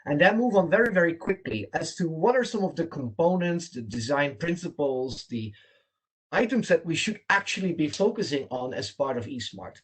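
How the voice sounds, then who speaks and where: A man with a Dutch accent leads an online training session, his voice sitting a little higher than most men's. He speaks in an earnest, emphatic way, repeating words for stress. The sound has the feel of a video call. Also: animated, moderate pace, breathy edge, poor audio. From Netherlands. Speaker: presenter and trainer.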